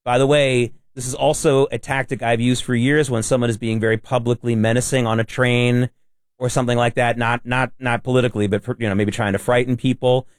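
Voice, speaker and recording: A man's voice: medium pitch, flat delivery, a little fast, no room sound, studio quality. A man co-hosting a talk radio show, blunt and emphatic. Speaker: radio co-host. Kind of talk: casual talk radio chat.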